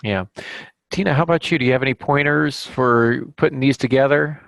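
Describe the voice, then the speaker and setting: Creaky-voiced man hosting a podcast. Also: mid-range voice, a little fast, a bit bright, no room sound. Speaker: podcast host and novelist. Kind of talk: casual writing podcast discussion.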